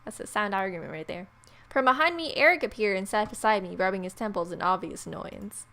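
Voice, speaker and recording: A woman's voice: medium pitch, brisk, vocal fry. Wry woman reading a visual novel aloud. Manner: playful, wry, expressive reading. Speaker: gamer, video host. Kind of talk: let's play read-aloud with casual asides.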